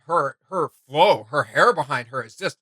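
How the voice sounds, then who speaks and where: A man's voice: on the high side, normal speed, pushed and bright, breathy edge, no echo. A man hosting a casual reaction video, talking as an enthusiastic fan who gushes over what he is reacting to. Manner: enthusiastic, gushing.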